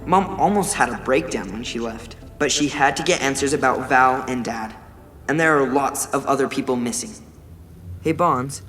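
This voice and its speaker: A man's voice: medium pitch, normal speed, a bit bright, soft background music, natural voice. Boyish, nerdy young brother, played by a male. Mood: mildly grumbling, then good-natured. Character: upbeat, nerdy, good-natured young brother. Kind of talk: audio drama acting.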